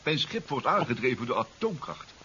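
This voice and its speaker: A dull, lightly hissy old radio recording of a mild inventor professor. He speaks haltingly, his pitch rising and falling widely. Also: big pitch swings, bright, forceful tone. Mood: hesitant, uneasy. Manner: hesitant, halting. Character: hesitant, mild male professor and submarine inventor. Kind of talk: 1970s Dutch radio drama acting.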